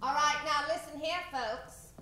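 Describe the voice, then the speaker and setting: A woman's voice: high-pitched, unhurried, sharp tone. A woman acting an old prisoner and fortune-telling witch in an old time radio horror play, folksy and ominous. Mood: ominous warning. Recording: roomy echoing stage, background noise.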